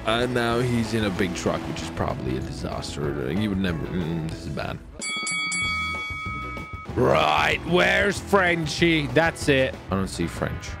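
A man giving excited, playful gaming commentary in a theatrical way, often exclaiming and repeating his phrases. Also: lowish voice, a little slow, gravelly, music in the background. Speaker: gamer and video host.

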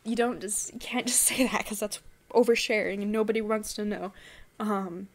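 A woman's voice: medium pitch, fairly even intonation, normal speed. A young woman from Texas talking casually to a webcam in her bedroom, rambling with many fillers and restarts. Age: young adult. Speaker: vlogger. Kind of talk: casual monologue to camera. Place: small bedroom indoors.

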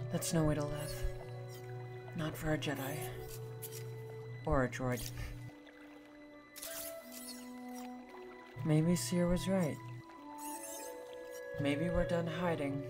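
A woman voicing a guarded, earnest young Jedi in a video game cutscene, subdued in manner. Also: low voice. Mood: quiet, reflective.

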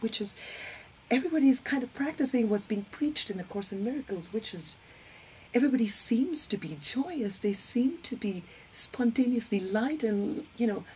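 A woman in her thirties with an Indian accent and Hindi as her first language, giving personal testimony in an expressive, emphatic way. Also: on the high side. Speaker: interview guest, spiritual community member. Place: indoor set with curtain backdrop, seated. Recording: clear background noise.

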